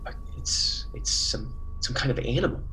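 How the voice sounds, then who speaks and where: A man's voice: very high-pitched, wildly swooping pitch, moderate pace, sharp tone, a little hiss or hum, heavily acted, rough recording. A man playing an earnest, defensive biologist on a colony planet in a classic radio play, emphatic and easily flustered. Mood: excited, hushed.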